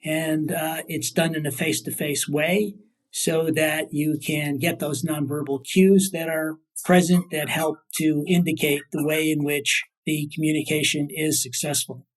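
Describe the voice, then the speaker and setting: A man answering as an academic interview guest, building long, careful sentences with deliberate, hesitant phrasing. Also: on the high side, little pitch movement, poor audio.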